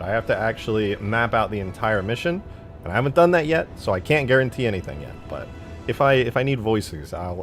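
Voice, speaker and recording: Male live streamer, low register, casual and slangy, self-deprecating, faint music underneath. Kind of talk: casual livestream chat, reading out viewer comments.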